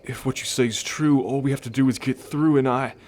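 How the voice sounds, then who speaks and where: A man playing a wary, wounded half-blood bull man in an ASMR roleplay, speaking in a halting, guarded way. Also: medium pitch, steady tone, a little mellow, lightly acted. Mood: shaken, torn. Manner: halting, guarded, hesitant delivery. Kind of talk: ASMR audio roleplay acting.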